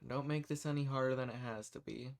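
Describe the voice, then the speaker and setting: A man's voice: medium pitch, steady tone, fast, creaky, heavy background noise, natural voice. A man playing a folksy undercover rebel among monster hunters in a roleplay audio drama. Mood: menacing. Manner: folksy, reassuring. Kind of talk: scripted roleplay audio drama addressed to the listener.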